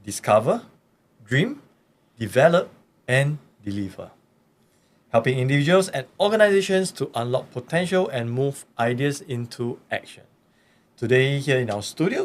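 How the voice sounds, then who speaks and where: A man presenting a scripted, promotional opening for a studio podcast. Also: mid-range voice. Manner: polished, promotional delivery. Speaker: show presenter.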